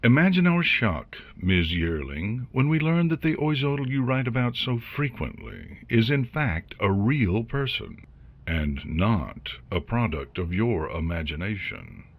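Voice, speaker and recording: An actor playing a smug unicorn lawyer, formal, measured and condescending, in a fan fiction audio drama. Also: male voice, lowish voice, big pitch swings, husky, dry recording, phone-quality sound, heavily acted. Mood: coolly accusing. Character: smooth-talking smug male unicorn corporate lawyer.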